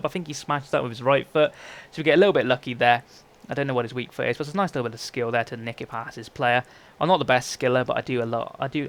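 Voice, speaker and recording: A man's voice: medium pitch, a bit bright. A young man with a congested, phlegmy voice, casually commentating his own football gameplay, rambling and often correcting himself. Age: young adult. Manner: chatty, rambling, frequent self-corrections. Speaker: gaming YouTuber.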